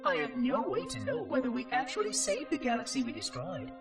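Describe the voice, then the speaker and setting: A small floating machine caretaker from a video game, precise and strangely chipper. The voice sits high and leaps around, with game score playing clearly behind it. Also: male voice, huge pitch swings, brisk, far from the mic, music in the background, full character voice. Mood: solemn. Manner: precise, formal, oddly cheerful. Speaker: monitor of an installation.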